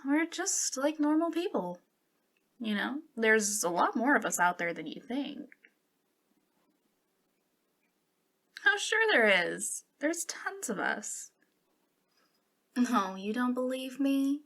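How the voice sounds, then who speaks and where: A friendly young witch who runs a little shop, played by a woman in an ASMR roleplay. She speaks softly and unhurriedly in a fairly high voice that often drops into vocal fry. Her manner is eager but awkward, hesitant and a little giggly. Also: animated, measured pace, exaggerated voice. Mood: teasing, coy.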